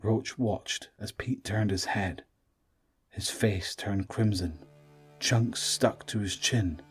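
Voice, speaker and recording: A man narrates an audio drama in a low voice. His delivery is scripted and dramatic, and music plays behind him. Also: slow pace, husky.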